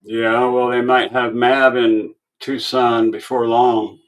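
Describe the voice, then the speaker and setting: A man's voice: medium pitch, flat delivery, slow, poor audio. Laid-back man in his sixties. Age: 60s. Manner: laid-back, short replies, casual swearing. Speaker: livestream host. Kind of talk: casual chat.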